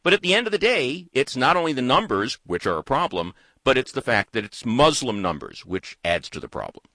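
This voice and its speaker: Lively talkative man, dry close sound. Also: medium pitch, fairly quick, dry recording. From United States. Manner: thoughtful, animated, talks in long winding runs. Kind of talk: casual political chat.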